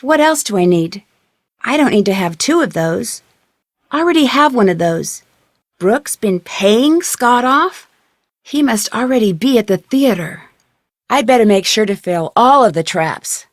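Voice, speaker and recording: A young American woman voicing a plucky, inquisitive amateur detective in a scripted video game, speaking in a crisp, conversational way. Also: on the high side, no echo, studio quality.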